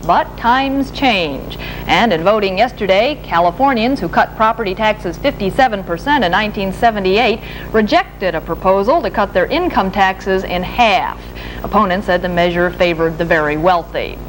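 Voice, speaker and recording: An American woman anchors a television newscast, reading from a script in a formal, measured way. Her voice sits at a medium pitch. The recording is an archival late-seventies broadcast tape with faint hum and noise. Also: lively intonation. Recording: noisy archival 1970s broadcast tape, humming.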